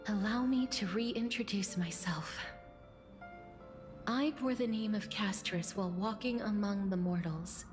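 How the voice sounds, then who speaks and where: A woman playing a serene demigod of death in a game, speaking in a calm, graceful and formal poetic manner. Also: medium pitch, unhurried, natural voice. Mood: calm, regal. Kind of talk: game voice acting, poetic monologue. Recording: prominent music behind the voice.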